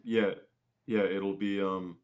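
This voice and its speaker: A man with a somewhat low-pitched voice speaks as an interview guest. He is a musician, and he talks in an informal, conversational way. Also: level tone, slow pace, darkish tone. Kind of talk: casual chat.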